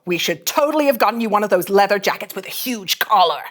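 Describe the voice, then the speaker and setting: A woman's voice: mid-range voice, expressive, fast talker, natural voice. A woman playing a sarcastic, teasing young starship ensign in a comic sci-fi table read, with slangy delivery.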